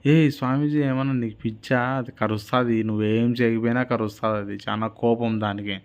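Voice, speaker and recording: A man's voice: mid-range voice, steady tone, a little fast, clear, resonant tone, close to an everyday voice. A man voicing frightened villagers in a children's story, exclaiming urgent, repeated warnings in a Rayalaseema Telugu accent.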